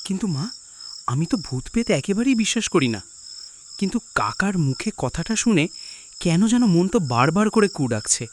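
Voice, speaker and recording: A man's voice: high-pitched, big pitch swings, a little fast. A man playing a troubled young son, questioning and doubtful, in a horror cartoon. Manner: anxious, questioning. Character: troubled young son, sceptical but growing afraid. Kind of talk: Bengali horror cartoon dialogue. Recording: faint noise with chirping crickets.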